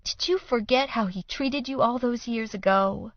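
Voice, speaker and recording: Brief: woman playing a fragile, weepy mother, high strained pleading, audiobook. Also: moderate pace, dry recording, dull audio, lightly acted. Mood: sad. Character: tearful, overdramatic middle-aged mother.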